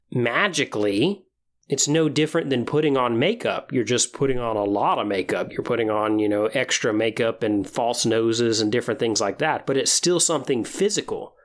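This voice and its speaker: A podcast host speaks alone in a conversational, explanatory manner. The voice sits at a middle pitch, suited to walking listeners through tabletop role-playing ideas.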